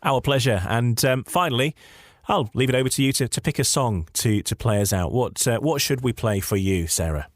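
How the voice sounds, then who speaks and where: A man's voice: medium pitch, normal speed, pauses often, no echo. A man hosting a conversational radio interview, speaking politely and encouragingly to his guest.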